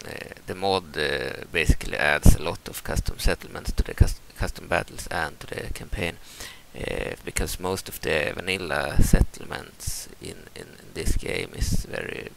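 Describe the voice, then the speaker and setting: A man's voice: very deep voice, gravelly, background noise. A young Swedish man with a Swedish accent, talking casually and hesitantly through a game mod walkthrough, with many fillers and restarts. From Sweden. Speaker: gaming channel host.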